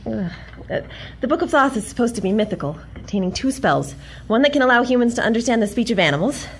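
A woman playing a smart, flustered young museum scholar in a comic 1940s-style audio drama, articulate, excitable and haughty. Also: on the high side, fast, slight background noise. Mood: excited wonder.

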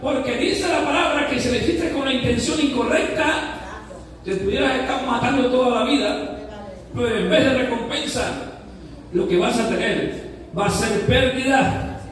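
A man with a very high voice preaches a fervent sermon, shouting at the peaks and slowing to stress key words. He is heard from a distance in a church sanctuary, with a long, ringing reverb. The room sound is strong around every phrase. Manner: fervent preaching with shouted rises and slow emphasis. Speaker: pastor. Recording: echoey church hall from far off.

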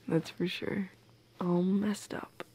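A young woman in an intimate girlfriend audio roleplay, speaking softly and close to the mic with playful, teasing affection. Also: fairly low pitch, a little hiss or hum. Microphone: close microphone, dry.

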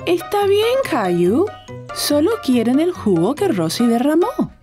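Warm young mother, children's cartoon, high voice, very animated, encouraging. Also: unhurried, music in the background. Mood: gentle. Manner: gentle, encouraging.

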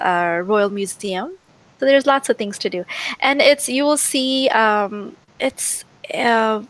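A young woman from Canada with a soft voice, an audiobook narrator chatting eagerly in a video call interview, giggling often, speaking into a headset mic in a small room. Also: mid-range voice, rough recording. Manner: giggly, eager, repeats yes and like.

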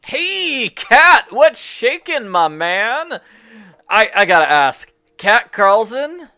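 Animated man narrating a video game. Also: sits high, slow pace, no echo, studio quality, faint music behind. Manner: jokey, musing aloud. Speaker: host of a game walkthrough. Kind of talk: casual playthrough commentary.

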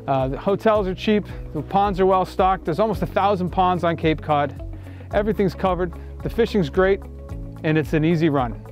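A man's voice: high voice, moderate pace, faint music behind. A man in his forties presenting casually to camera outdoors. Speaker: magazine editor presenting. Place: outdoors on a lakeside beach.

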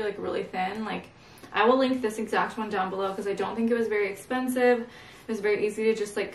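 A woman's voice: a little high, a little hiss or hum. A woman in her twenties chatting casually and upbeat to her viewers from a room at home, recorded on a camera mic. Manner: chatty and upbeat, lots of "like" and "you guys". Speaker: lifestyle vlogger. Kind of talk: casual vlog chat to viewers. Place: indoors at home, bedroom and dressing room. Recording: roomy home echo.

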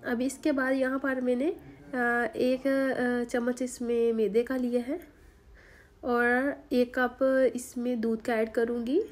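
A woman, hoarse from a sore throat, giving a chatty home cooking voice-over with many fillers. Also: on the high side, darkish tone. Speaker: cooking channel host. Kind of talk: recipe voice-over. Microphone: voice-over recorded separately.

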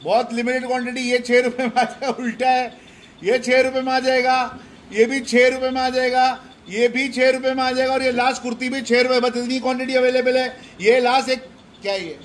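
A man's voice: very high voice, level tone, slight background noise. A young man, a shop salesman, walking through a large indoor shoe and sportswear store filming on a handheld phone and repeating price after price in a promotional sale walkthrough. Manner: repetitive rapid-fire price listing.

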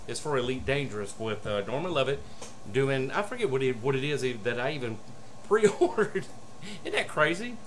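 A man in his forties talks casually to his webcam at home. His voice sits at an average pitch, and he rambles keenly, pausing as he goes. A faint air conditioner noise runs behind him. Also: a bit bright. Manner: enthusiastic, rambling, halting with pauses. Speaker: vlogger. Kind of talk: casual excited unboxing vlog chat. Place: small room at home, indoors. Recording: noisy room with air conditioner hum. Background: air conditioner hum.

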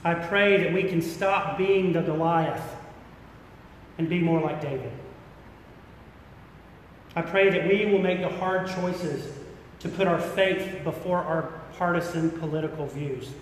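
Male pastor, American accent, middle-aged, higher-pitched voice, deliberate sermon delivery, emphatic, heavily reverberant church. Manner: earnest, deliberate, emphatic repetition. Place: large church interior. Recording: echoey hall with rumbling background noise.

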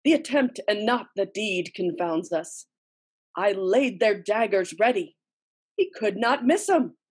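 A woman playing a steely, scheming noblewoman in an amateur read-through of a classical verse drama, in a measured, dramatic manner. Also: medium pitch, expressive, husky. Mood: alarmed. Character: scheming, steely wife of a murderous lord. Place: small room at home, indoors. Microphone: computer mic on a video call.

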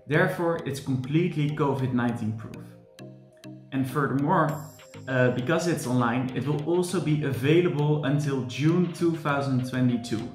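Young guy tutor pitching his course on webcam, earnest, echoey room, faint music under. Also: normal speed. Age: young adult. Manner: earnest, explanatory, with frequent "furthermore" and restarts. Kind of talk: promotional talk to camera. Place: room with large windows, indoors.

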